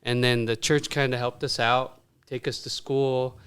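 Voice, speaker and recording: A man's voice: mid-range voice, little pitch movement, a little slow, a bit bright, clean tone. A man in his fifties from Vietnam, a guest on a casual podcast, talking earnestly and often saying "you know.". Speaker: podcast guest, dentist and restaurant owner.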